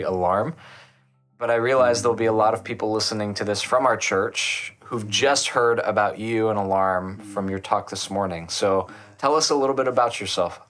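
A man's voice: fairly low pitch, fairly bright tone. A man hosting a podcast in a conversational style. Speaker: podcast host.

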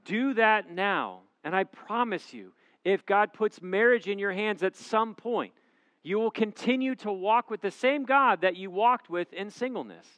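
A man's voice: high voice, animated, measured pace, fairly bright tone, no echo. A male pastor preaching a sermon to a congregation, with an emphatic, repetitive preaching cadence. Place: church auditorium before a live congregation.

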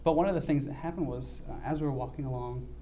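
An earnest young man recounts a mission trip in a halting, conversational way, filling pauses with ums. His voice is dark and mellow. A faint hiss sits under the recording. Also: mid-range voice. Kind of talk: church mission report, casual.

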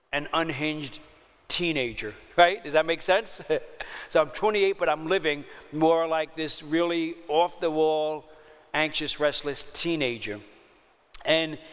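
A man's voice: on the high side, fairly bright tone, long reverb tail. A man, preaching a sermon in a chatty way and often checking in with his listeners. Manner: conversational, checks in with the audience often. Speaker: pastor.

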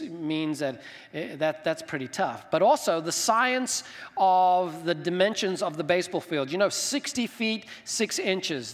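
A middle-aged man from Zimbabwe, a senior minister giving a conversational sermon and addressing his listeners directly. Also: fairly high pitch. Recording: long reverberant hall sound.

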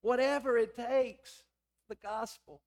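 A middle-aged man with a hoarse, raspy voice, preaching a sermon in a conversational, storytelling way with quoted dialogue. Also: very high-pitched, steady tone, slow, darkish tone. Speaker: pastor.

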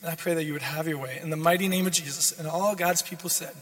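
A hoarse young man preaching a sermon as a guest speaker, repeating his key lines and building in intensity. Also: fairly high pitch, steady tone, fast talker. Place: church sanctuary. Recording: long church reverb.

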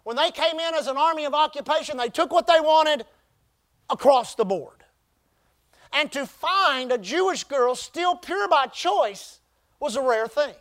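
Pastor, male, very high voice. Emphatic sermon delivery with call-and-response. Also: expressive, fairly bright tone. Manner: call-and-response preaching, emphatic drawn-out phrases.

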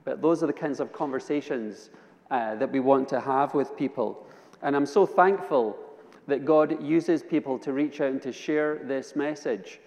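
A man's voice: mid-range voice, moderate pace, darkish tone. A Scottish man giving a personal testimony sermon as a guest preacher, telling it conversationally with dry humor. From Edinburgh, Scotland. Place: large indoor church or hall. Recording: reverberant hall, long echo.

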